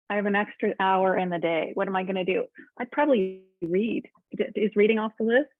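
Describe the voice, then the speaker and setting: A woman's voice: medium pitch, normal speed, dry recording. A woman answers enthusiastically, laughing and circling back over her words. Her voice comes through a tinny, phone-like line with no room sound at all. She speaks in a casual panel chat. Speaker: panel guest.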